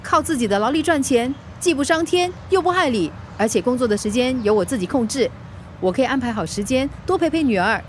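High-voiced, harried Singaporean mum. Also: female voice, expressive, a little fast, a little hiss or hum. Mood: exasperated, earnest. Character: harried, plucky working mother turned food delivery rider. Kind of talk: radio drama acting.

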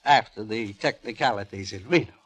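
An actor playing a cold, vindictive husband with a sardonic, deliberate, theatrical delivery in a 1950s radio drama. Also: male voice, lowish voice, a bit bright, slightly rough. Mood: smug, calculating.

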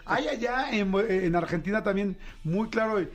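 A man with a Mexican Spanish accent hosts a casual interview in a high voice. He talks in a chatty, enthusiastic way and drops slang freely. He is from Mexico City. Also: lively intonation, moderate pace. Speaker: podcast host.

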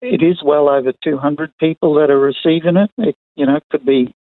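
A man's voice: on the high side, a little fast, no echo. Older Australian man on a phone-like line. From far north Queensland, Australia. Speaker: interview guest, retired sugarcane farmer. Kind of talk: conversational interview answers. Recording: narrow phone-like line, dry.

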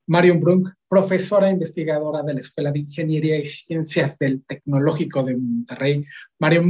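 A man with a Mexican accent co-hosting a radio show, energetic and upbeat. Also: on the high side, slightly rough, poor audio. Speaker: radio co-host. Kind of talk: radio interview.

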